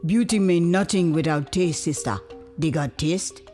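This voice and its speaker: Sly male customs official, Liberian accent, slow and deliberate, skeptical, audio drama; played by a woman. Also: on the low side, mellow, faint music behind, natural voice. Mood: sly, hinting.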